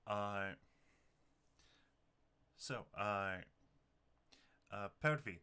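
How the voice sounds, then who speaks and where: A man narrating a tabletop roleplaying game as game master, talking haltingly as he thinks things through. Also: on the low side, bright, forceful tone, smooth.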